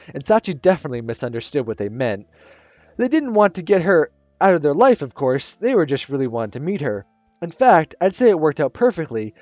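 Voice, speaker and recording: A man narrating a visual novel aloud at a calm pace, his voice sitting on the higher side and moving with lively pitch. The recording is dull and dry, with faint music behind. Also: dry recording. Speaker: game streamer.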